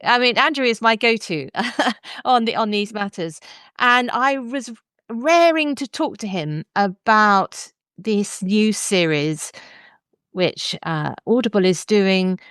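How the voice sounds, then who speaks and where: A woman's voice: mid-range voice, animated, takes it slowly, a bit bright, clear, resonant tone, no echo, clean studio sound. A woman hosting a podcast, chatty and articulate as she interviews a guest in a conversational way. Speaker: podcast host.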